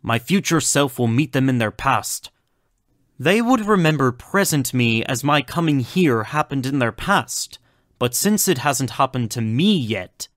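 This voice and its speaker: A self-possessed gentleman doctor played by a male actor speaks formally and courteously in an audio drama. His pitch is medium and his words are measured. The sound is dry, with no room around it. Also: animated, no echo. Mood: troubled. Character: polite, self-possessed male doctor turned reluctant funfair ringmaster. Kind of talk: scripted audio drama acting.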